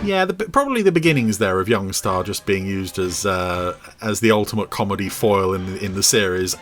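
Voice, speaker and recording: A man's voice: low voice, lively intonation, brisk, loud music behind the voice. A man co-hosting a podcast, chatting enthusiastically through an episode review. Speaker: podcast co-host.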